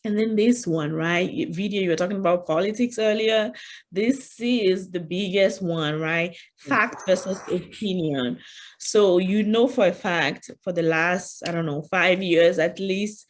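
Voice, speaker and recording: A woman's voice: fairly low pitch, a little slow, slight background noise. A woman with a French accent presenting an interactive online leadership workshop, speaking conversationally and often saying "you know", standing at her computer on a video call.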